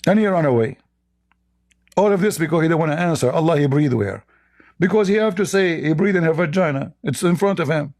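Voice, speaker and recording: A man hosts a call-in debate, his voice a little high with a gravelly edge. He talks in a mocking, argumentative way, firing off rhetorical questions. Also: lively intonation, fairly quick, a little mellow. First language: Arabic. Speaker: debate show host.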